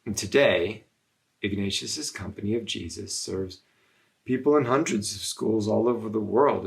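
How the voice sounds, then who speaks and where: Creaky-voiced man reading a children's story. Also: lowish voice. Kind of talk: read-aloud storytelling narration.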